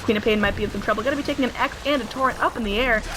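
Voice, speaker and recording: A woman casting an esports match with play-by-play commentary, her voice hoarse and worn as she loses it. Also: a little high, over music, poor audio. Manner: quick, excited play-by-play with filler pauses. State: hoarse, losing voice. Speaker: esports caster.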